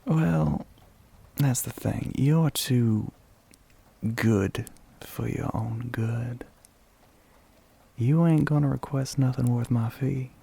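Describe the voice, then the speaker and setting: Man playing a slow, husky Southern gentleman demon. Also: medium pitch, exaggerated voice. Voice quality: breathy, husky, half-whispered. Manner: slow, teasing drawl with pet names. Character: flirty, sly gentlemanly male crossroads demon. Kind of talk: scripted ASMR roleplay.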